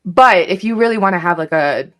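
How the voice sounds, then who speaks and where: A woman's voice: lowish voice, lively intonation, brisk, fairly bright tone. A woman with an American accent, a podcast guest giving casual advice in an informal, hedging way, sprinkled with "like" and "you know".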